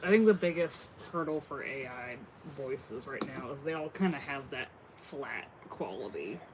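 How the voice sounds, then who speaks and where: A man's voice: mid-range voice, animated, normal speed, noisy background. A man talking casually as a co-host, blunt and given to casual swearing. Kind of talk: casual conversation.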